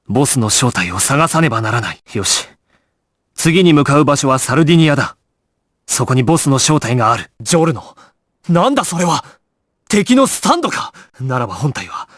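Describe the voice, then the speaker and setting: An actor playing a calm, commanding young gangster squad leader in an anime video game, grave and decisive, giving clipped orders. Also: male voice, fairly high pitch, dry recording, studio quality. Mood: alarmed, shouting.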